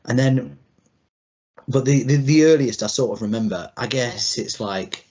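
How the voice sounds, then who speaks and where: A man's voice: medium pitch, unhurried, a little mellow. A man in his thirties co-hosting a podcast, chatting casually in a loose, rambling way. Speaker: podcast co-host. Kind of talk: casual chat. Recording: dull lo-fi podcast audio.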